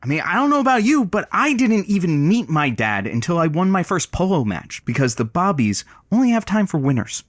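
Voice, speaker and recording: Evasive, animated radio man. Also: high-pitched, a little fast, few pauses, dry recording, studio quality. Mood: defensive, flustered. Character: evasive, conflicted radio man, expectant father. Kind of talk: audio comedy-drama acting.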